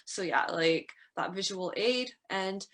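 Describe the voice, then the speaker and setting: A woman's voice: medium pitch, takes it slowly, a bit bright. A young woman with a Canadian accent tutoring a student in English pronunciation, chatty and encouraging. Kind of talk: one-on-one English lesson.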